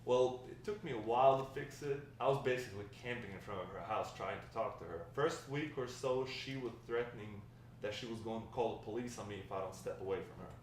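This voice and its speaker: A man playing a grandfather who fondly recounts his wild, lovestruck youth in a halting table read. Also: fairly low pitch, close to an everyday voice. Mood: rueful, confiding. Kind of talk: table read of a dramatic script. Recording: echoey room, distant mic, faint noise.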